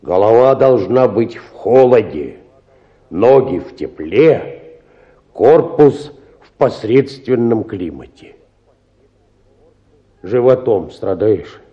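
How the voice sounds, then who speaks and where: An actor playing a pompous village medical assistant in a radio drama, giving instructions self-importantly. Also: male voice, on the low side, lively intonation, slow pace, pauses often, lo-fi sound. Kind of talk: Russian radio drama acting.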